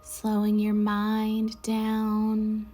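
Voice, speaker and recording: A woman leading a guided meditation in a soothing, measured way, with soft breathy endings to her phrases. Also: mid-range voice, monotone, soft background music. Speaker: guided meditation leader, Reiki instructor. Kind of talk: slow guided meditation narration.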